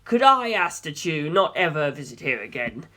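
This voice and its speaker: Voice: medium pitch, big pitch swings, moderate pace, pushed and bright. A narrator voicing a big, smugly pleasant, possessive young man with falsely genial politeness, as audiobook dialogue. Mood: condescending, controlling.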